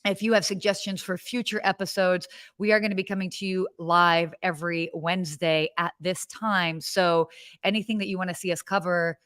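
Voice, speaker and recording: A woman's voice: fairly low pitch, little pitch movement, moderate pace, fairly bright tone, clear, resonant tone, no echo, clean studio sound. A woman in her thirties from the United States, co-hosting a live hospitality show in a bubbly, chatty way with lots of fillers and restarts. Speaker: podcast co-host. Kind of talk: casual live-show chat.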